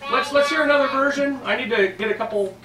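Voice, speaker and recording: A man playing a bumbling, chatty ventriloquist who tells children a comic story with halting, flustered asides. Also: very high voice, a crowd in the background. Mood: coaxing. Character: bumbling, chatty male ventriloquist puppet-show presenter named Nigel. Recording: echoey, noisy room, distant microphone.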